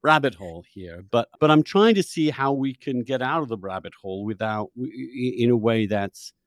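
A man hosting a conversational, debate-like interview, probing and often halting as he repeats words while forming his points. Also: mid-range voice, animated, dry recording, clean studio sound. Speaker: podcast host and interviewer.

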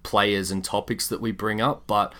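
A man with a fairly low voice talks as a podcast co-host. His delivery is casual and conversational, as if working out his thoughts while he speaks. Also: steady tone, normal speed, a bit bright. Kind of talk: casual basketball podcast chat.

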